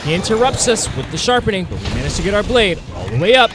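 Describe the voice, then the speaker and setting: A man's voice: high-pitched, wildly swooping pitch, fast, background noise. A man narrating a video game hunt as a dramatic, roleplayed story with playful, wry asides, like a scripted storyteller. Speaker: gameplay narrator. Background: music.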